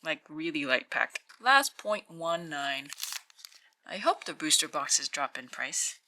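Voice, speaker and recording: A woman with a croaky morning voice, speaking slowly with a bright, lively pitch. She muses and reads out numbers in a relaxed way while unboxing at a tabletop, with a faint hiss and some light clicks behind her. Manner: slow, musing, reads out weights. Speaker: channel host opening card packs. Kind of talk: casual hands-only unboxing commentary. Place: indoors at a tabletop.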